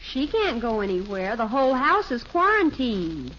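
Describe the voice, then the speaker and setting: High-voiced, dramatic American teenage girl. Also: very animated, moderate pace, a bit bright. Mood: disappointed, sulky. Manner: plaintive, emphatic. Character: dramatic, pleading, sulky teenage daughter. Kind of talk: 1950s radio sitcom acting. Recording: dull, noisy old radio broadcast recording.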